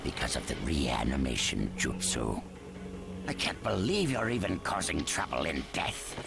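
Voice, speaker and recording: Deep, gravelly old ninja leader. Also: male voice, big pitch swings, moderate pace, exaggerated voice. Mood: grim. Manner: scornful, commanding. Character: stern gruff old male village leader, a seasoned ninja. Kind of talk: dramatic video game dub acting. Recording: loud music under the voice.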